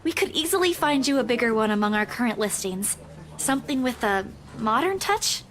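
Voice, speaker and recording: An actress playing an earnest, deferential young real estate agent in a video game, courteous and slightly hesitant. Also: female voice, on the high side, lively intonation, fairly quick, fairly bright tone. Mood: careful, deferential.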